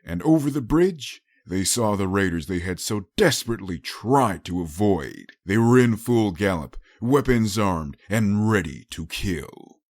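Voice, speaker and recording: Casting: male audiobook narrator, low register, dry studio-like sound, dramatic scripted storytelling. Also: lively intonation, unhurried, few pauses, darkish tone, no echo.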